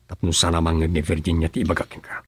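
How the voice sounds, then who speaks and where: A commanding Filipino boss in an Ilocano radio drama. His voice sits low and is recorded dry and close. He speaks to his people with guarded authority. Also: flat delivery, quick pace, husky, dry recording. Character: commanding, secretive male boss and husband addressed as Gob.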